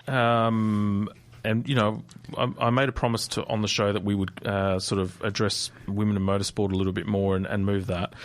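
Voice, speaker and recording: A man, co-hosting a casual motorsport chat in a radio studio, animated and quick to laugh. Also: fairly low pitch. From Australia. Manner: chatty, laughs easily, animated. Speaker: co-host.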